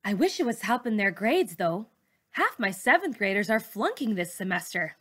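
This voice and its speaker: A woman's voice: mid-range voice, big pitch swings, moderate pace, a bit bright. A woman reading a children's novel, voicing a proud, strict perfectionist teacher, a woman with a firm, earnest, defensive manner. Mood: frustrated. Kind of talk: children's novel read-aloud dialogue.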